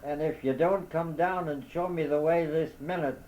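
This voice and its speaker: A lost Irishman with a thick brogue shouts up into the dark, high-pitched and strained, as a man reads him aloud. He turns from polite pleading to bluster, words tumbling out over each other. It is broad storybook character work. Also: steady tone, normal speed, lo-fi sound, a little hiss or hum, lightly acted. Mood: exasperated. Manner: loud, exasperated brogue shouting. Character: flustered Irish immigrant man lost in woods.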